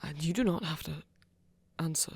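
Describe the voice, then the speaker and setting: A woman playing a refined, flirty male vampire with a breathy voice and formal, careful phrasing in an intimate audio roleplay. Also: low-pitched, normal speed, heavily acted. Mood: careful, concerned. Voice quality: breathy, whispery. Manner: formal, careful diction without contractions, gently teasing.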